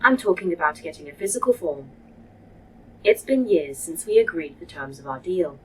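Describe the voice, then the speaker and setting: A woman's voice: on the low side, lively intonation, normal speed, fairly bright tone, a little hiss or hum, rough recording. A woman voicing a proud, dry-witted, condescending artificial intelligence in a sci-fi audio drama. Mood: resentful. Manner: precise, sardonic, condescending. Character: proud, dry-witted A.I. running a secret facility.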